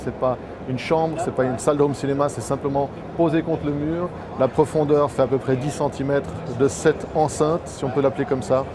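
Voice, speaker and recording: A man giving an explanatory, promotional product presentation, as a distributor at a trade show stand. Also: a little high. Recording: noisy trade show floor ambience.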